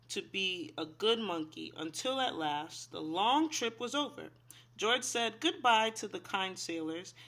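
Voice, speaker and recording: A woman reads a picture book to small children in a bright voice with lively swings of pitch. She takes her time and speaks to her young listeners as a teacher would, guiding them with simple questions. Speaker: read-aloud storyteller, teacher.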